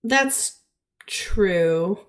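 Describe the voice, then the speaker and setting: Husky-voiced woman podcast co-host, unhurried. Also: medium pitch, expressive. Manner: laughs often, chatty and opinionated. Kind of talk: casual chat.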